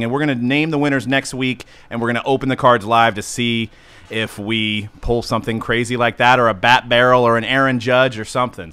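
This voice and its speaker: A man's voice: medium pitch, fairly bright tone, clean tone. A man hosting a casual live talk show, upbeat and loose, laughing often. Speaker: host.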